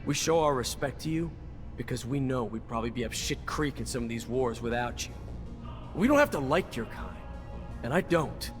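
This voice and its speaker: A man's voice: medium pitch, animated, moderate pace, lightly acted. Bitter, sardonic soldier played by a man, music behind. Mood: grim, resentful. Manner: gruff, sardonic, blunt, swearing. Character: bitter, cynical male veteran soldier. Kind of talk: video game dialogue acting.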